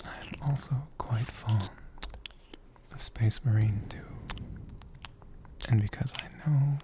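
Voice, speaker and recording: An ASMR host whispering softly and gently, with a breathy voice and deliberate pauses. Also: mid-range voice. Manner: gentle, slow, deliberate, with pauses mid-sentence. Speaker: host of an ASMR channel answering viewer questions. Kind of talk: soft-spoken whispered ASMR.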